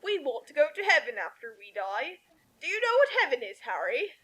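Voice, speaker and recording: Casting: woman to play a pious, preaching man, high and bright, earnest, for a story parody. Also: sing-song, fairly quick, exaggerated voice. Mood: pious, gentle. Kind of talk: dramatic reading of fan fiction.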